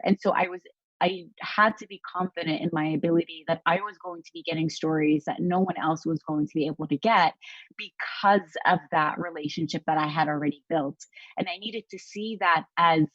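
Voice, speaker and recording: A woman with a lowish voice speaks earnestly on a panel, reflective and searching, often pausing on "um" and restarting her thoughts. The sound is dull and lo-fi, very dry, as if coming over a video call. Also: no room sound. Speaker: journalist. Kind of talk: panel discussion on a live stream.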